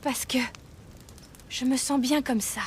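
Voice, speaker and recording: An actress playing a guarded, stubborn teenage girl passing as a boy in an animated romance dub, reserved and curt. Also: high-pitched, slow pace, a little hiss or hum. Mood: stubborn, shy.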